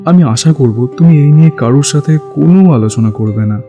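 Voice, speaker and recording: A man's voice: on the high side, fairly quick, warm tone, dull audio, lightly acted. An actor playing a middle-aged father who confides earnestly and sadly about his grown daughter in an audio drama. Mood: confiding, worried. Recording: music under the voice.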